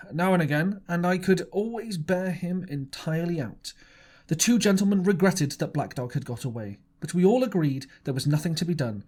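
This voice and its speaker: Male audiobook narrator, expressive, slightly high voice with lively pitch. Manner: expressive storytelling. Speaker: audiobook reader. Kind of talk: reading a novel aloud.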